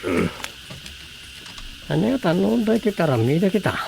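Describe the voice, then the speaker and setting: Drowsy comic Ilocano village secretary, gravelly, muttering, radio drama comedy. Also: male voice, high voice, huge pitch swings, normal speed, a little mellow, a little hiss or hum, exaggerated voice. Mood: musing.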